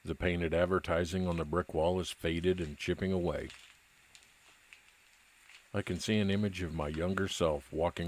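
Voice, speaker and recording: A man playing a weary, nostalgic private investigator, narrating an old-time radio detective drama in a measured, reflective way. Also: deep voice, natural voice.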